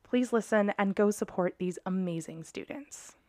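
A woman's voice: mid-range voice, moderate pace, a little mellow, soft background music. A woman hosting a podcast interview in a small studio, speaking in an upbeat, enthusiastic way. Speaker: podcast host.

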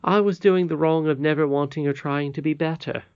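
A man voicing an earnest, contrite and excitable boy in a storybook, speaking with polite emphasis. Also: a little high, brisk, clean tone, no room sound, muffled recording, voice pushed up, natural voice. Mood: confessing. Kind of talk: storybook character reading.

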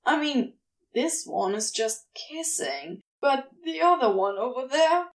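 A woman's voice: high-pitched, animated, takes it slowly, creaky, heavily acted. A woman voicing a wily, affectionate male demon in a fan fiction audio story, teasing and sardonic in a casual way. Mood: appalled, sardonic.

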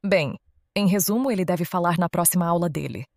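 A woman's voice: fairly low pitch, a little fast, a little mellow, dry recording, clean studio sound, close to an everyday voice. A woman with a Brazilian accent playing a determined, direct teenage schoolgirl in an audio drama. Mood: determined, casual. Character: determined teenage schoolgirl running her own party.

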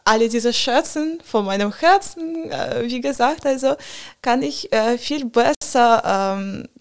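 Young woman, non-native German speaker, podcast guest, gravelly tone, hesitant. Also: on the high side, slow pace. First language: not German. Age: young adult. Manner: searching for words, many fillers, non-native phrasing. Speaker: guest, college student. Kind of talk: casual podcast chat.

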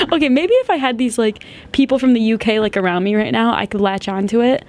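A woman's voice: a little high, lively intonation, fairly quick, darkish tone. A woman in her twenties hosting a podcast, telling a story in a chatty, giggly way and saying "like" a lot. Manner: chatty, giggly, heavy use of "like". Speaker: podcast host. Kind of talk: casual storytelling.

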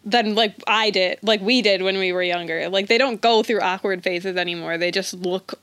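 A woman's voice: mid-range voice, fairly even intonation, normal speed, fairly bright tone, dry recording. A woman of twenty, a podcast host, chatting casually and rambling with lots of "like". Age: 20s. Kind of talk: casual chat.

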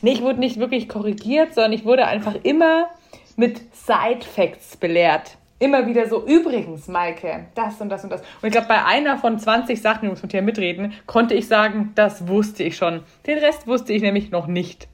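A woman from Franconia hosting a podcast, chatting in a lively, joking way and often cutting in. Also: mid-range voice, normal speed. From Franconia, Germany. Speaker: podcast host. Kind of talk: casual chat.

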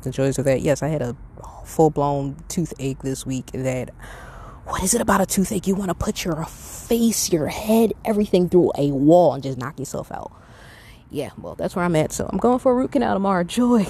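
A woman's voice: deep voice, lively intonation, moderate pace, dark tone, a little hiss or hum. A middle-aged woman hosting a podcast alone, talking off the cuff in a candid, rambling way and giving emphatic advice. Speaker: podcast host. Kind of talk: casual unscripted solo podcast monologue.

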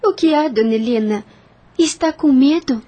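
An actress with a Brazilian accent playing a gentle, sensible woman who calms others in a radio drama. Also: high-pitched, moderate pace, natural voice. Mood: practical, encouraging. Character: gentle, sensible woman calming her frightened companions.

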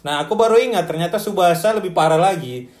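A man's voice: high voice, quick pace, a little mellow, roomy sound. A man chatting casually and laughing with friends. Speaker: podcast co-host. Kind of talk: casual chat.

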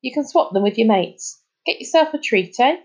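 A woman's voice: a little high, animated, normal speed, noisy background, pitched above the performer's own voice. A woman voicing an easygoing, dismissive male celebrity in an audiobook, with chatty, clipped casual phrasing. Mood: breezy, placating. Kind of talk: audiobook character dialogue.

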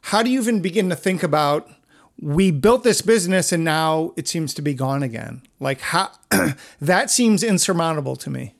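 High-pitched, gravelly male interviewer. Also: lively intonation, normal speed. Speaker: podcast host, interviewer. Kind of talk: conversational interviewing.

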